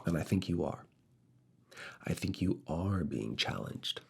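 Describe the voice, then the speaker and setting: Actor as male university professor, ASMR roleplay: husky, breathy, quietly seductive and exacting, slow delivery with swooping intonation and whispers. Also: expressive, full character voice. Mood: firm, challenging. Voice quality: husky, breathy, low gravelly voice. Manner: slow, deliberate, pauses between short phrases, slipping into whispers. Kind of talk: ASMR roleplay, soft intimate one-to-one talk.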